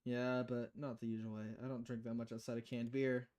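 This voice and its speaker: Woman playing a polite young bartender for a visual novel: reserved delivery, dropped lower in pitch. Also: level tone, brisk, warm tone. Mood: polite, subdued. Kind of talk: acted reading of game dialogue.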